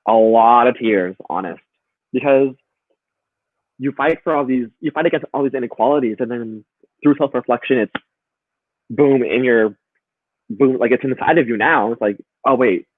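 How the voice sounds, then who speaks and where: A man's voice: mid-range voice, no echo. A man talking as a guest in a casual live chat over a remote call, earnest and emphatic, laughing often. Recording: tinny phone-like line, dry.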